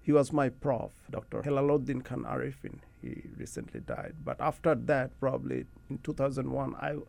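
Middle-aged male interview guest, Bangladeshi accent, very creaky voice with animated intonation, casual anecdotal storyteller. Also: mid-range voice, moderate pace. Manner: chatty, anecdotal, often adds "you know". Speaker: interview guest, academic researcher.

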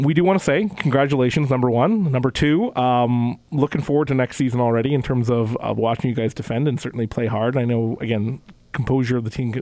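A man's voice: medium pitch, a little mellow, no echo, low-quality recording. A man hosting a casual sports radio interview show from a studio, rambling and repeating words as he restarts sentences. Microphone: studio microphone.

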